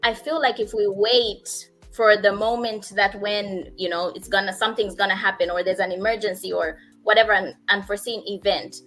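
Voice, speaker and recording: A woman co-host talks earnestly, her voice bright and crisp. She pauses and hedges as she thinks aloud. Faint music plays behind her. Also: medium pitch, fairly even intonation, rough recording. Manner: thoughtful, earnest, hesitant with fillers. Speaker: talk show co-host. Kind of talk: casual talk show chat.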